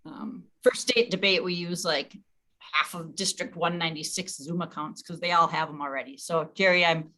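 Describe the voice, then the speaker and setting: A woman's voice: on the low side, moderate pace, sharp tone. A woman leading an informal online meeting, chatty and often interrupting herself as she briefs the group. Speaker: meeting leader and organizer.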